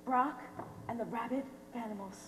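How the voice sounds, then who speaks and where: A woman's voice: on the high side, normal speed, a little hiss or hum, lightly acted. A teenage actress on stage playing a brash, pushy female pop star. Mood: excited, admiring. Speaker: actor in a high school play. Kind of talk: live amateur stage acting. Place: theater stage. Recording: echoey hall stage recording.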